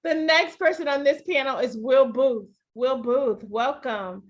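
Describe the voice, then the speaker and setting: A woman with a fairly high voice moderates a panel, moving briskly and politely from one speaker to the next. She talks over a video call.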